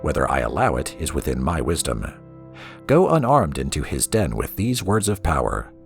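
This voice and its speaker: A man's voice: a bass voice, wildly swooping pitch, moderate pace, faint music behind, full character voice. A man reading aloud as a solemn, cryptic god-king who preaches prophecy in a portentous, incantatory way.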